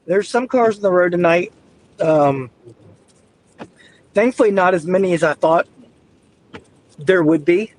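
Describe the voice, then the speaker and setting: Man with a raspy, flu-worn voice, a bit higher pitched, light road noise behind him, talks to viewers while driving. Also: measured pace, long pauses, a little mellow, poor audio. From Southeast Georgia. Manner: easygoing, addresses viewers as y'all. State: getting over the flu, hiccups. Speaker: weather livestreamer. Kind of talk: live storm-chasing stream commentary. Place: inside a car, driving on icy roads.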